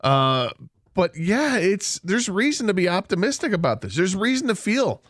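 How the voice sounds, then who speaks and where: A man co-hosting sports radio, talking in a measured, analytical way with fillers. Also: high-pitched, sing-song, a bit bright, no room sound, clean studio sound. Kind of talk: casual sports talk. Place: radio studio.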